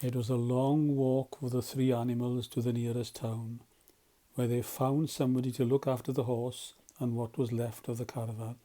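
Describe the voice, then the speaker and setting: A man's voice: mid-range voice, flat delivery, normal speed, warm tone. A man narrating a children's storybook as a volunteer storyteller.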